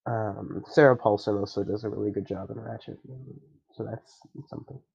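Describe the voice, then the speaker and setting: A man's voice: fairly low pitch, steady tone, normal speed, creaky, a little mellow, breathy edge, highs cut off. A young man with a stuffy nose, chatting casually and rambling with lots of fillers as a podcast host. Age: young adult. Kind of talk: casual solo chat.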